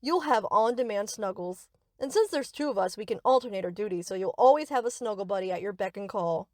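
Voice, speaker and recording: A woman's voice: mid-range voice, fairly quick, darkish tone, dry recording, clean studio sound, lightly acted. A woman playing a sweet, reassuring but possessive young yandere best friend in an anime-style audio roleplay, coaxing and doting.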